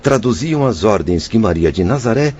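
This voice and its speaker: A very deep male voice of an actor as a humble, troubled spirit in a radio drama. He speaks with formal earnestness and very lively intonation. The track is slightly muffled with faint noise. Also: normal speed, close to an everyday voice. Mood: reverent, moved. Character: humble, troubled father, repentant spirit recounting his past. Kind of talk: Brazilian radio drama narration and dialogue.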